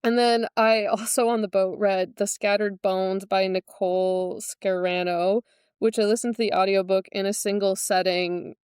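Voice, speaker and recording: A woman's voice: mid-range voice, fairly even intonation, normal speed, darkish tone, smooth, no room sound, studio quality. A woman co-hosting a podcast, telling anecdotes in casual, wry, giggly conversation. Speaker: podcast co-host.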